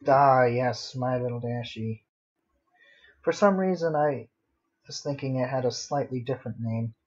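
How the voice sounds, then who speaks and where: A man's voice: medium pitch, measured pace. A man casually chatting on a livestream while he draws, muttering to himself in a self-deprecating way. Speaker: livestreaming artist and host. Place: at home with a dog nearby. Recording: dull lo-fi home stream audio.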